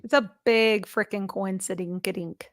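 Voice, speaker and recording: A woman's voice: mid-range voice, fairly even intonation, normal speed, dry recording, studio quality. A woman on a livestream panel chatting casually, in an emphatic, slangy, conspiratorial manner. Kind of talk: casual chat.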